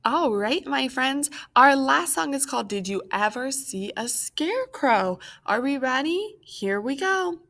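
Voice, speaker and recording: High-voiced American woman hosting children's storytime. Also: expressive, a little slow, few pauses, a bit bright. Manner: encouraging, addresses young children as friends.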